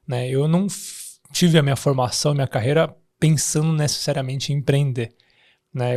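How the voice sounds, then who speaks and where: A man's voice: mid-range voice, darkish tone. A man from Taiwan who speaks with an Araraquara Brazilian Portuguese accent, a founder chatting casually as a guest and tagging his sentences with "né" amid frequent restarts. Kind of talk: casual interview chat.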